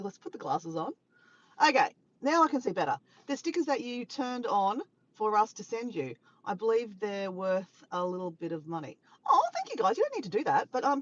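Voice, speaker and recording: A woman's voice: medium pitch, lo-fi sound, slight background noise. An Australian woman live-streaming in casual chat, rambling and laughing as she reads out viewers' comments. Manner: chatty, rambling, laughs often, greets viewers.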